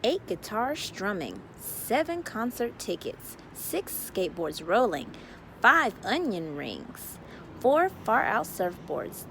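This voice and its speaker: A woman hosting a children's story time, reading a picture book aloud in a playful, drawn-out sing-song delivery. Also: on the high side, a little hiss or hum, rough recording.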